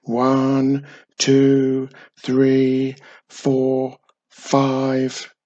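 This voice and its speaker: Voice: mid-range voice, monotone, very slow, dark tone, muffled recording. Self-important boy wizard from a storybook, done by a narrator, earnest, fussy, mischievous. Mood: loud counting.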